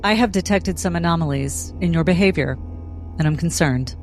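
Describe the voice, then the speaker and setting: A woman's voice: on the low side, moderate pace, darkish tone, soft background music, lightly acted. A woman playing a polite, reserved and careful character in an acted space drama. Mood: concerned, probing.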